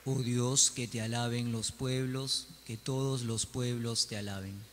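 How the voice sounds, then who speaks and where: A man's voice: medium pitch, fairly even intonation, unhurried, fairly bright tone, a little hiss or hum. A man leading sung responses in church as cantor, singing along with a guitar. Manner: leads the congregation in song.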